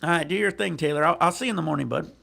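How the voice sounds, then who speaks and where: Congested gravelly man chatting live. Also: fairly high pitch, racing, bright, forceful tone. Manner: laid-back, profane, laughing often. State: congested, stuffy nose. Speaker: livestreamer. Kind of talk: casual live stream chat. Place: outdoors on a cruise ship at night. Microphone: handheld microphone.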